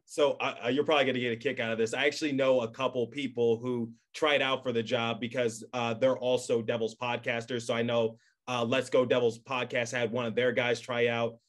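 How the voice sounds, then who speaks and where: Chatty young podcast host, mid-pitched man. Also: flat delivery, fairly quick. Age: young adult. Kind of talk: casual podcast interviewing.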